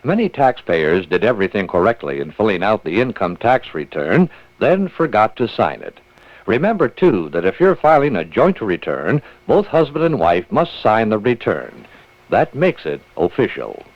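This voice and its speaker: Male announcer, lowish, lively intonation, scripted public service spot, dry but muffled vintage radio. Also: normal speed, no echo. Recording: muffled old radio broadcast.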